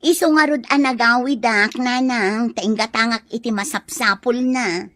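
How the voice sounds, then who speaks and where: Young single mother, lovestruck and plaintive, high dry voice, for Ilocano audio drama female actor. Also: moderate pace, fairly bright tone, no room sound, natural voice. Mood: earnest, defensive.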